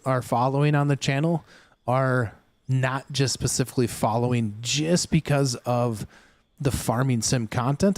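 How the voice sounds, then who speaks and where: A male streamer chatting loosely with his viewers while he plays, circling back on his sentences and filling gaps with ums. His voice sits at a middle pitch and sounds dry and close, with no room echo. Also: a little mellow. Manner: rambling, many fillers and restarts; chatty, talks straight to chat viewers. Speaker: livestream gaming host. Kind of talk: casual livestream chat.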